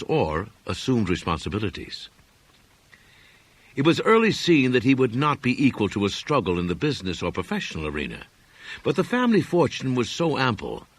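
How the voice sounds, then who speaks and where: A man reading a literary horror story as an audiobook narrator, in a formal, measured storytelling manner. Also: on the low side, a bit bright, breathy edge. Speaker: audiobook reader.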